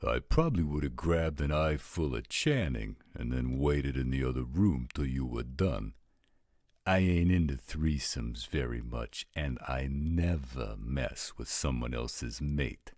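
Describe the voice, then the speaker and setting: A man's voice: extremely low pitch, expressive, measured pace, darkish tone, no echo, clean studio sound, full character voice. A man playing a blunt, roguish supernatural scout who talks in casual street slang, in an audio roleplay drama. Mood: wry, unbothered.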